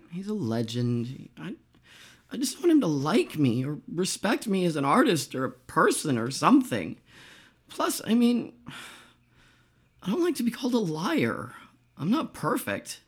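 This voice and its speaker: A woman acts a defensive, self-doubting rock singer in a comedic radio play. Her hoarse voice swings widely in pitch as she stumbles and repeats herself, putting herself down with wry humor. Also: wildly swooping pitch, heavily acted. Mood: hurt, earnest. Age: middle-aged. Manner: halting, repeats words, self-deprecating.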